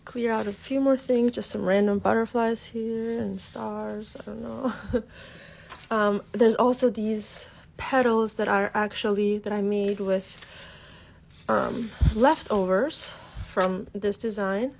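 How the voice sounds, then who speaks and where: A woman's voice: medium pitch, fairly even intonation, slow pace, vocal fry, a little mellow, slight background noise. A woman with a cold, sounding congested, hosting a casual craft livestream from her desk, chatty and full of fillers as she greets viewers. Her first language is Albanian. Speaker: craft tutorial host.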